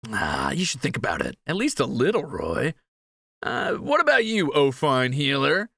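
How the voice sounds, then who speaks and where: A man's voice: mid-range voice, wildly swooping pitch, normal speed, creaky, pushed and bright, no room sound, studio quality, exaggerated voice. A man playing a greedy, cheeky young thief in a fantasy audio roleplay, teasing and flippant. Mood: playful.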